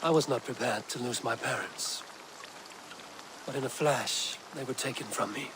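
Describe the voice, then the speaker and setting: A man playing a stern but compassionate Viking clan leader in a video game, speaking in a firm, measured, formal way. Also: mid-range voice, noisy background, natural voice. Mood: solemn, consoling. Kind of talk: video game cutscene acting.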